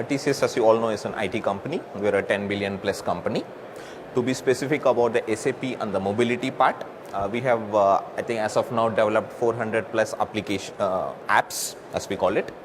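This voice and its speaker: A man speaks as a co-presenter in a business presentation. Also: on the low side. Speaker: corporate co-presenter. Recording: clearly noisy live recording with ambience.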